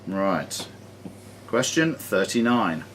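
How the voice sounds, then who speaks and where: A man's voice: low-pitched, very animated, slow, fairly bright tone. A man acting as quizmaster, reading questions formally and measuredly to players in a club room, with the odd jokey aside. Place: sports and social club room. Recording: noisy live room recording.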